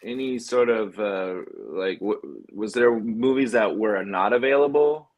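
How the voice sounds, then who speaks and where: A man's voice: fairly low pitch, expressive, unhurried, muffled recording. A man co-hosting a casual film discussion, speaking in a somewhat hesitant way with frequent ums. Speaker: podcast co-host.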